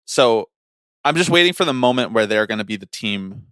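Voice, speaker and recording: A man's voice: fairly low pitch, expressive, fairly quick, fairly bright tone, smooth, no room sound, studio quality. A man in his twenties co-hosting a casual baseball podcast, laying out analysis in long, reasoned runs with repeated phrasing. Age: 20s. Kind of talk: casual sports talk, analysis.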